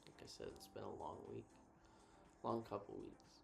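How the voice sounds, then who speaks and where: A man co-hosting a casual reflective chat, thinking out loud in a laid-back, slangy way. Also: fairly low pitch, very animated, normal speed, vocal fry. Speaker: co-host.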